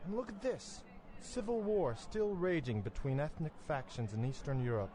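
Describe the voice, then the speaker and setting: An actor playing a smug, oblivious American beer drinker in a satirical radio commercial sketch. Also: male voice, a little high, moderate pace, mellow, close to an everyday voice. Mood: casual, oblivious.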